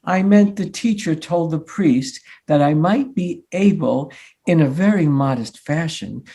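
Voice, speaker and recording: A man playing a husky, flustered teenage son. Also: fairly high pitch, dark tone, heavily acted. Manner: defensive, mock-modest. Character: earnest, flustered sixteen-year-old son, budding playwright. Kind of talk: live staged play reading.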